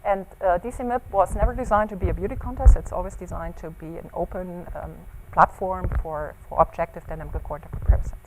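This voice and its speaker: A woman researcher presenting a scientific talk with slides in a seminar room, often pausing on ums and breaking up her phrases. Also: on the low side, fairly even intonation. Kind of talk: semi-scripted scientific conference talk with slides. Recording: clear background noise in seminar room.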